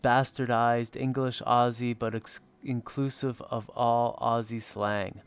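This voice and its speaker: A man's voice: medium pitch, level tone, slow pace, clean tone. A man hosting a hobby livestream, chatting casually as he works and replies to viewers. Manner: chuckles often, reads and answers chat comments. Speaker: livestream host doing scale modelling. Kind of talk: casual live chat while working.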